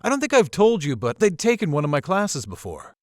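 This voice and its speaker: A man acting a polite, probing, subtly ominous college professor in a visual novel, speaking in a measured, formal, theatrical way. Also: fairly high pitch, huge pitch swings, no echo, studio quality. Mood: cordial. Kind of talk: visual novel character dialogue.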